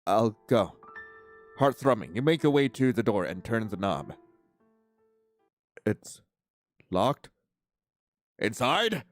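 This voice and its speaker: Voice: mid-range voice, sing-song, slow, long pauses, faint music behind. A man who makes gaming videos, reading a visual novel aloud in a theatrical, dramatic way that swings into screaming.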